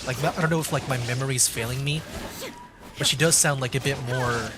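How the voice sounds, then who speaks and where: A man's voice: mid-range voice, fairly quick, music in the background. A man doing casual live gameplay commentary as a streamer, musing informally as he goes. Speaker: game streamer.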